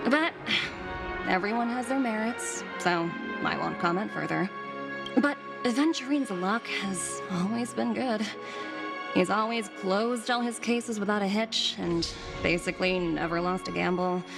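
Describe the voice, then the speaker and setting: An actress playing a poised, shrewd businesswoman in a video game, measured and coolly amused. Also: medium pitch, vocal fry. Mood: amused, knowing. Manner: measured, composed, knowing. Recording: loud music behind the voice.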